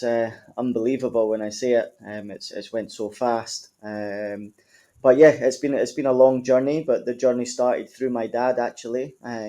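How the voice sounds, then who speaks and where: A man in his thirties with an Edinburgh Scottish accent, a football club manager answering podcast interview questions in a relaxed, conversational way with frequent ums. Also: mid-range voice. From Scotland.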